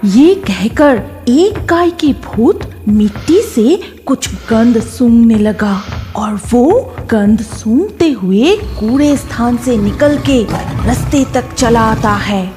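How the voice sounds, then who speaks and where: Woman narrating a spooky cartoon tale, slow, bit high, music behind. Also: lively intonation, dark tone. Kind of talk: scripted story narration for horror cartoon.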